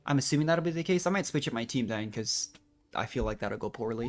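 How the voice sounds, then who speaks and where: A man's voice: medium pitch, animated, fast talker, slight background noise. A man streaming a video game, giving casual, rambling and self-deprecating commentary as he plays.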